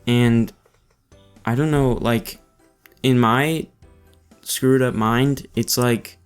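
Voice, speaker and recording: A man's voice: medium pitch, fairly even intonation, extremely slow, clean tone, faint music behind. A man hosting a casual podcast chat, musing with curiosity about ideas. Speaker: podcast host.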